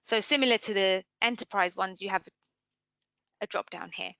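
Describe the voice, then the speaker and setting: A woman giving an informal software demo as a webinar trainer, explaining conversationally and putting questions to listeners. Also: mid-range voice, moderate pace, vocal fry, no echo, dull audio. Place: indoors, screen-share webinar voice-over.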